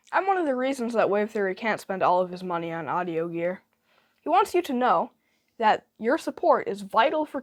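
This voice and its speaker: Woman, fairly high pitch, scripted promo read, tongue-in-cheek delivery.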